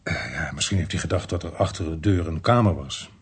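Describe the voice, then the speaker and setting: An actor playing an apologetic police investigator in a Dutch radio drama, speaking in a measured, explanatory way. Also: male voice, rumbling low, husky, full character voice. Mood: explaining.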